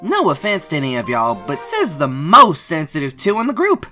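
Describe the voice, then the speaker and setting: A man's voice: a little high, wildly swooping pitch, moderate pace, bright, forceful tone, reverberant, in a large hall, soft background music, exaggerated voice. A man voicing an indignant young boy bunny in an amateur cartoon dub, with a folksy, sassy drawl. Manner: folksy, sassy, scolding drawl with y'all and darn. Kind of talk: scripted amateur comic dub dialogue.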